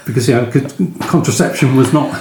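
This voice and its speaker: A man of medium pitch speaks as an interviewer in a conversational book discussion. He proceeds haltingly, with pauses inside his sentences. The sound is roomy and echoey. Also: darkish tone. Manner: halting, pausing mid-question.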